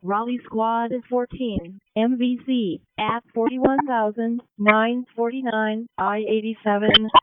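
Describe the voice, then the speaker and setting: A woman emergency dispatcher reading out a measured announcement over a two-way radio. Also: a little high, steady tone. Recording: very noisy, tinny radio channel.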